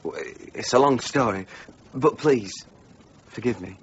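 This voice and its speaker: An actor playing a young village blacksmith, earnest and pleading, speaking softly in a radio play. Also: male voice, mid-range voice, a little slow, slight background noise, lightly acted. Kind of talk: radio drama acting. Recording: muffled lo-fi radio recording.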